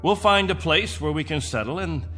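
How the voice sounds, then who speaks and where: An actor playing a proud middle-aged leader of space colonists in a radio drama, formal, insistent and commanding. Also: male voice, a little high, lively intonation, normal speed, bright, forceful tone, soft background music. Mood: firm, possessive.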